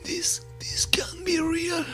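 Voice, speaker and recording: A woman's voice: very high voice, little pitch movement, slow pace, sharp tone, faint music behind, pitched above the performer's own voice, full character voice. A woman playing a terrified, desperate male security guard in a horror story, panicked and pleading. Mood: weak terror.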